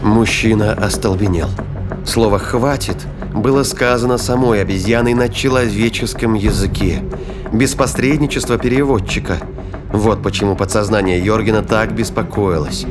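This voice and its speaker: A man's voice: on the low side, normal speed. A man narrating an audio drama in a dramatic, scripted storytelling style. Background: music.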